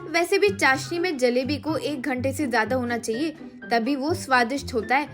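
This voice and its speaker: A woman's voice: sits high, moderate pace, over music, close to an everyday voice. A woman voicing an earnest, dutiful young daughter in a cartoon story, with a polite manner. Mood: earnest conceding. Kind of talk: Hindi cartoon story dubbing.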